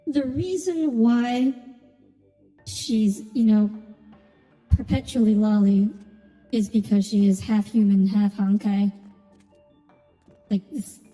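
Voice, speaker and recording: A woman's voice: mid-range voice, takes it slowly, pauses often, mellow, long reverb tail, low-quality recording. A woman giving casual commentary as she streams a video game. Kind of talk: casual gaming commentary, reading dialogue aloud. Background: video game music.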